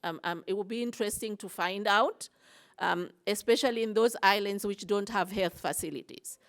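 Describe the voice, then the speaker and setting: A woman's voice: medium pitch, moderate pace. A woman answering audience questions at a conference session, hesitant, with many fillers and restarts. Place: conference breakout room.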